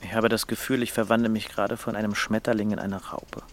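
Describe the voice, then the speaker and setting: A man's voice: fairly low pitch, little pitch movement, fast, a little hiss or hum, natural voice. An actor playing a burnt-out, melancholy male poet who speaks in a resigned, dry, hesitant way in a radio drama. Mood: weary, wry. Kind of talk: naturalistic radio drama dialogue and diary narration.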